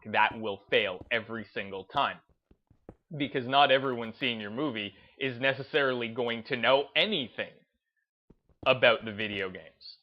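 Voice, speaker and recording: A man speaks at a markedly slow, deliberate pace with a medium pitch. He gives opinionated, off-the-cuff commentary like a gaming video host, landing his points emphatically. The recording is slightly dull and lo-fi. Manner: emphatic, deliberate, stressing points with short declarations.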